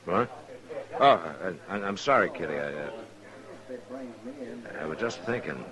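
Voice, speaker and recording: A man playing a calm, shrewd middle-aged American marshal in an old radio western, speaking in a laconic, unhurried way. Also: sits low, poor audio. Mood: thoughtful. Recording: old radio recording, crowd sounds behind.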